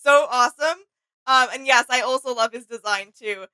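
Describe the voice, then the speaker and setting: A woman's voice: high-pitched, moderate pace, bright, forceful tone, clean tone. A woman co-hosting a reaction video in casual chat, agreeable and appreciative.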